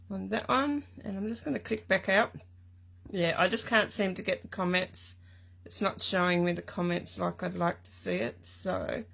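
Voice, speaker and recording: A middle-aged Australian woman from Tasmania with a croaky voice, chatting casually and rambling with lots of ums as she hosts a live craft stream from her craft room. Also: medium pitch, fairly bright tone.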